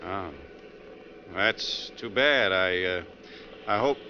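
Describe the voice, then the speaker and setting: A man's voice: low voice, slow, very noisy. An American actor playing a talkative, nervy male bus passenger in 1940s radio drama style, speaking colloquially. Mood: chatty. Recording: muffled 1940s radio recording.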